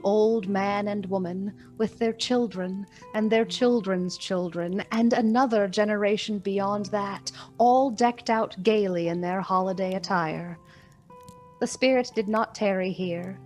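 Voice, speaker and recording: A woman narrating a Victorian Christmas tale for a radio play, measured, solemn and expressive. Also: mid-range voice, lightly acted. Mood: dramatic storytelling.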